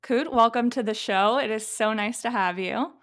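A woman's voice: on the high side, normal speed, a bit bright, soft background music. A woman hosting a podcast, reading a guest introduction and then asking questions in a chatty, upbeat way. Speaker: podcast host. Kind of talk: podcast interview, scripted intro.

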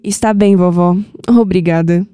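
A woman's voice: on the low side, measured pace, mellow, big-room sound, lightly acted. A woman playing a troubled, introspective young woman with a Brazilian accent in an audio drama. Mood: pensive, anxious. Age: young adult. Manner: pensive, sighing. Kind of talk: scripted audio drama acting.

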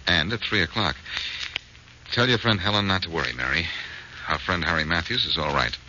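An actor playing a confident, friendly amateur sleuth in a 1940s radio detective drama. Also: male voice, deep voice, fairly quick, pushed and bright. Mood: focused, upbeat. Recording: muffled, faintly noisy old radio recording.

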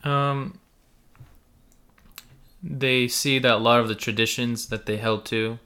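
A man's voice: medium pitch, steady tone, takes it slowly, pushed and bright, smooth. A man born in the United States to a Russian- and Ukrainian-speaking family, co-hosting a podcast and talking casually and hesitantly with many fillers. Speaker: podcast co-host. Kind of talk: casual conversation.

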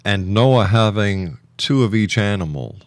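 A man's voice: on the low side, lively intonation, a little slow, no room sound. A man hosting a talk radio interview, speaking as the presenter with his guest. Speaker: radio show host.

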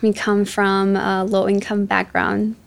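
Female interview guest, twenties, average pitch, conversational personal storytelling, grew up in Chicago. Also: little pitch movement, unhurried, gravelly. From born in Ecuador, raised in Chicago from age seven. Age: 20s. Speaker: interview guest, ocean activist.

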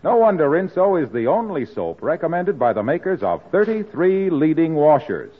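A man's voice: a little high, very animated, moderate pace, slightly rough. A man announcing an old radio show in an emphatic, scripted 1940s sales style. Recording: muffled old radio recording.

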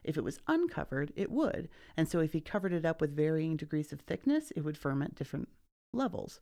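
A woman's voice: low voice, big pitch swings, a little fast, creaky, warm tone. A woman hosting a casual podcast, animatedly retelling a history book and acting out quotes, laughing often. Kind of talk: casual chat.

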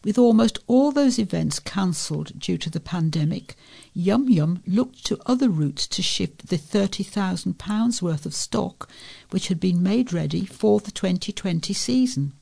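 A woman's voice: lowish voice, lively intonation, a little slow, gravelly, mellow. A woman reading a local newspaper feature aloud as a volunteer reader.

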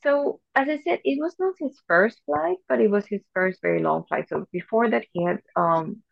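A woman from Venezuela in her thirties chats casually on a podcast, with a gravelly, fry-tinged voice. She rambles with fillers and restarts, recorded dry and a little dull. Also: mid-range voice, lively intonation, normal speed, no room sound. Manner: chatty, many fillers and restarts. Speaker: podcast co-host. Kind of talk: casual chat.

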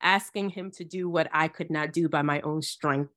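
A woman from Ghana, a Bible teacher, telling her story in an earnest, measured way in an interview. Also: low-pitched, steady tone, fairly bright tone, no echo, studio quality. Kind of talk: interview, personal testimony.

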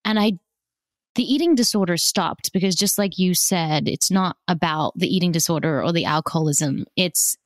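A woman, a podcast guest speaking candidly and emotionally, often halting as she reflects. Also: lowish voice, dry recording, clean studio sound. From born in South Africa, raised in Australia. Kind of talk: candid personal conversation.

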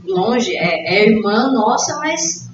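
A woman's voice: a little high, big pitch swings, slow pace. Brazilian woman, literature guest, chatty and explanatory, recorded dull and far from the mic. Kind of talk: casual book discussion. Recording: echoey, distant, dull, noisy room recording.

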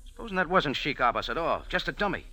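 A man's voice: on the high side, big pitch swings, bright, forceful tone. A man in a 1940s radio drama playing a wisecracking café owner who also narrates in a hardboiled tone. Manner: wry, brisk, hardboiled. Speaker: lead and narrator. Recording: old radio broadcast with music.

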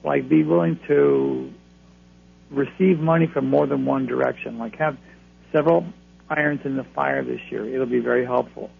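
A man's voice: mid-range voice, steady tone, normal speed, creaky, breathy edge. A man giving astrology readings to radio callers in a reassuring, advisory way. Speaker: astrologer guest. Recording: phone line, faint hum.

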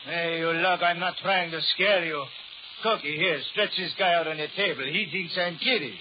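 Actor as a brutal high-pitched gangster thug. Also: male voice, moderate pace, natural voice. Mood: sadistic taunting. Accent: American. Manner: slangy, taunting, menacing tough talk. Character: brutal, sadistic, hot-tempered American safecracker thug. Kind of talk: 1930s radio gangster acting. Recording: muffled, noisy 1930s radio recording.

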